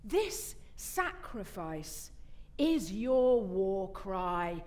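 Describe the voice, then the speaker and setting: A woman's voice: medium pitch, sing-song, drawn-out words, a little mellow. A woman giving an emphatic, exhorting poetry recital on stage. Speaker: actor reading poetry on stage. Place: theatre stage.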